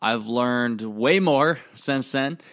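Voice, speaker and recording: This is a young adult man with an Iowa accent, hosting a solo podcast. His pitch sits in the middle, and he gets excited and speeds up as he talks. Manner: excitable, speaks fast when excited. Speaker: podcast host. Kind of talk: reflective solo podcast monologue.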